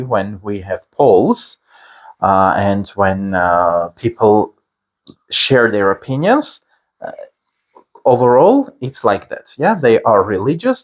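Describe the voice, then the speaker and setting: Armenian-accented male teacher, slow deliberate delivery, pausing often on fillers. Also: lowish voice, muffled recording. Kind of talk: explanatory lesson to camera.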